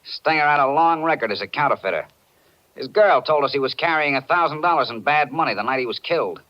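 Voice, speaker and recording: An actor plays a matter-of-fact police detective in an old radio drama. He is a confident man with a slightly high voice and a knowing delivery, heard on a dull vintage recording. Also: expressive, fast, lightly acted. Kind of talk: 1940s radio acting. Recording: muffled 1940s radio recording.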